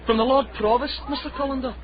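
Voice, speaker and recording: An actor playing an anxious, eager young messenger in a radio drama, hurried and pleading. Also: male voice, very high-pitched, fairly even intonation, muffled recording, a crowd in the background. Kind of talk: period radio drama acting.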